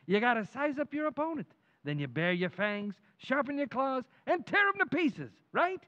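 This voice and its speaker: A man acting a brash, cynical buddy in old-time radio comedy. The voice is high and swings widely, cocksure and needling, on a dull lo-fi recording. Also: very animated, moderate pace, bright, forceful tone, heavily acted. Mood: scornful, goading.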